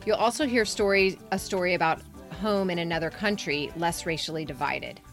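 A woman's voice: on the low side, fairly quick, fairly bright tone, soft background music. A woman hosting a podcast, reading scripted introductions and announcements. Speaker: podcast host.

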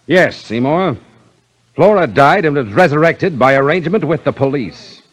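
Casting: brisk police inspector, commanding and sure, lively swinging intonation, vintage radio detective drama. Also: male voice, on the high side. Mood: authoritative. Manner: clipped, assured, explanatory. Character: brisk, authoritative police inspector exposing the culprits. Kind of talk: 1950s radio drama acting.

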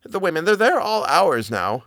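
A man's voice: fairly high pitch, sing-song, normal speed, a bit bright, dry recording, clean studio sound, voice pushed up. A man voicing a frightened middle-aged housekeeper who stammers in plain servant speech. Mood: fearful.

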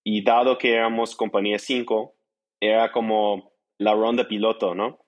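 Man, American accent, native English speaker, slightly low voice, casual interview storytelling, small grammar slips. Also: flat delivery, moderate pace, dull audio. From United States. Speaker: interview guest, startup entrepreneur.

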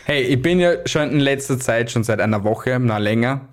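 A man's voice: medium pitch, moderate pace. A man co-hosting a podcast in joking, teasing casual chat, laughing often. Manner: teasing, laughs often, breaks into silly put-on voices. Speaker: podcast co-host.

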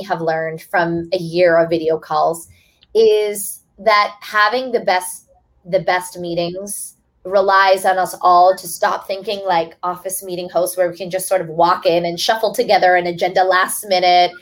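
A woman author speaking as a guest in a webinar, explaining earnestly and restarting phrases now and then. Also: mid-range voice. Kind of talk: webinar talk.

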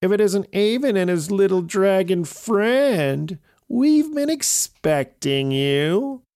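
A man voicing an evil, scheming female witch in a children's story, with theatrical, drawn-out villainy. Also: high voice, lively intonation, darkish tone, dry recording, studio quality, pitched above the performer's own voice, full character voice. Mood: gloating.

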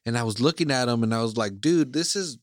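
A man from Iceland talks in a loose, meandering way, doubling back on his points and restarting his sentences. His voice sits at an ordinary pitch, and it is recorded close and dry with no room echo. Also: animated, quick pace. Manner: rambling, circles back with "again" and "like". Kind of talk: casual chat.

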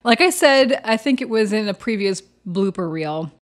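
Woman, Chicago-area Midwest accent, hint of Texas drawl, rapid slurred speech, giggly. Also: mid-range voice, expressive. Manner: fast talker, slurs words, laughs often. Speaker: podcast co-host and tabletop player. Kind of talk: casual blooper-reel banter.

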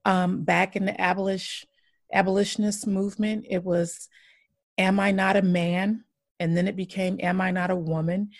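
A woman in her forties talks with passion and weight, pressing her points through repetition. Her voice carries a gravelly fry, and the sound is close and dry. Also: medium pitch, flat delivery, moderate pace, dry recording. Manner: impassioned, emphatic repetition. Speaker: podcast host. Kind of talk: earnest podcast conversation.

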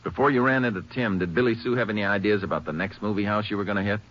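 An actor playing a calm, firm Texas Ranger who also narrates a 1950s radio western in a measured, matter-of-fact way. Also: male voice, lowish voice, a little hiss or hum, natural voice. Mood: calm, businesslike. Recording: dull vintage radio recording.

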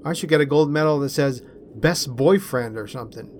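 A man's voice: on the high side, brisk, slight background noise, natural voice. A man voicing a good-humoured, put-upon young student boyfriend in a storybook reading. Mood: wry, exasperated.

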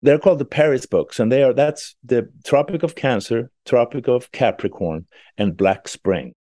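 A middle-aged or older man with a Swedish accent, originally from Sweden, telling stories in an interview and pausing and restarting as he explains. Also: medium pitch, dark tone, no echo, clean studio sound. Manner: thoughtful, with small restarts while explaining. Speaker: library director interviewed. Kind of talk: conversational interview storytelling.

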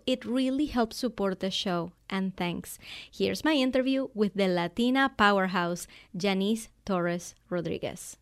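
A woman hosting a business podcast, reading an upbeat scripted intro and then interviewing a guest with enthusiasm. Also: on the high side. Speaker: podcast host and business coach.

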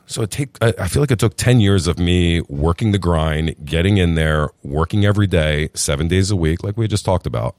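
A middle-aged man with a very low, deep voice tells his story in an easygoing, chatty way. The sound is dry and close, with no room around him. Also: fairly quick, few pauses, no echo. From New Jersey. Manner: relaxed, chatty, calls the host "brother". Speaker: restaurant group founder, podcast guest. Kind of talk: casual interview storytelling.

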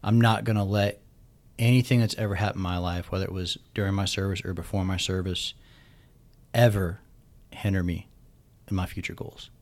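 A military veteran in his thirties speaks in a very low, deep voice, his words emphatic and often restarted as he gathers his thoughts. His voice sounds worn from a recent illness. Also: fairly even intonation, a little fast, darkish tone. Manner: emphatic, repeats words while thinking. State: recovering from losing his voice. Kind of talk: casual podcast conversation.

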